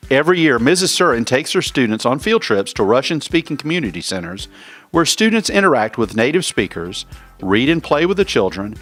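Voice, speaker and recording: A man's voice: medium pitch, a bit bright. A man reading upbeat scripted narration for a school promo. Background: music bed.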